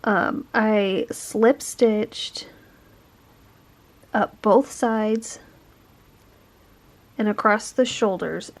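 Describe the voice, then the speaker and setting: A woman's voice: on the high side, a little mellow. A young woman talking casually while she crochets, thinking aloud with lots of "like" and "um", her voice a bit stuffy from a bad cold. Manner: chatty, thinking aloud, frequent "like" and "um". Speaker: crochet vlogger. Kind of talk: casual explaining while crafting.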